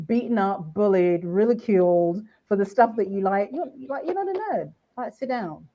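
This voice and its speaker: A woman's voice: mid-range voice, expressive, normal speed. A middle-aged woman from the UK with a British accent, chatting animatedly as a podcast guest with frequent restarts. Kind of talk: casual podcast chat. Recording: dull lo-fi sound.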